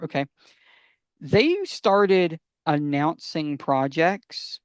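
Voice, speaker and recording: Higher-pitched man chatting as a guest. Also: extremely slow, clean tone, no room sound. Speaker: podcast guest. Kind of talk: casual chat.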